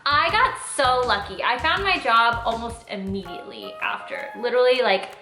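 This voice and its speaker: A woman's voice: fairly high pitch, lively intonation, moderate pace, pushed and bright. A Californian woman in her twenties chatting casually in a Q&A vlog, informal and emphatic. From Orange County, California. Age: 20s. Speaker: travel vlogger and nurse. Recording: echoey room with faint music.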